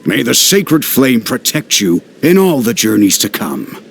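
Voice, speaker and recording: An actor playing a proud, earnest and hopeful warrior in a video game. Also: male voice, medium pitch, big pitch swings, unhurried, vocal fry, breathy edge, full character voice. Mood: grateful, hopeful.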